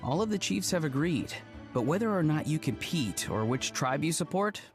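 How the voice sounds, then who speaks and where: A man's voice: a little high, sing-song, normal speed, over music. A man voicing a modest, earnest young tribesman in a video game, with an understated manner. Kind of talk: video game character acting.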